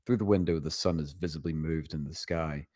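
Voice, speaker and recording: Very deep-voiced man reading stage directions. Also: brisk, mellow, no echo, studio quality. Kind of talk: scripted narration at a screenplay table read.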